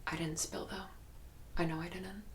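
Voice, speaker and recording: An actress playing an earnest young woman recounting frightening memories in a halting, guarded, matter-of-fact interview style for an audio drama. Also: lowish voice, little pitch movement, a little hiss or hum, lightly acted. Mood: haunted, insistent. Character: haunted, earnest young woman recalling childhood terror.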